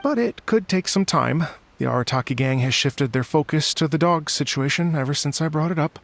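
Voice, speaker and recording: A man's voice: fairly high pitch, fairly quick, vocal fry. A man playing a friendly, earnest festival organizer in a game, polite and slightly hesitant. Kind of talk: animated game dialogue.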